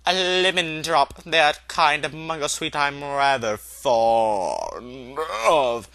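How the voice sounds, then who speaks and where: Old wizard, acted by a male reader: calm, courteous, gently amused, unhurried storybook delivery, clear forward tone. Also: fairly high pitch, dry recording, exaggerated voice. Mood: mild, courteous.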